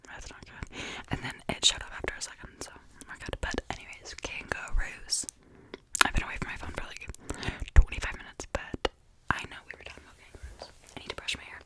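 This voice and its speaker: A young woman from Canada, whispering to a close mic as she rambles casually with many fillers and restarts, in the style of ASMR. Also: poor audio. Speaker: ASMR creator.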